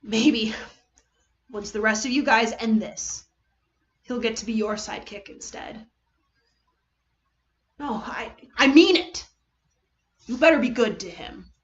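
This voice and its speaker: Woman acting teenage boy hero, stubborn, tender, halting, brave but weakened, slightly muffled recording. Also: on the high side. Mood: earnest reassurance. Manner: earnest, halting, strained bravado.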